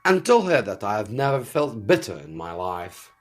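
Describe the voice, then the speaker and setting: A man voicing a composed, resolute gentleman who poses as a king in a storybook, speaking calmly and deliberately. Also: on the low side, soft background music.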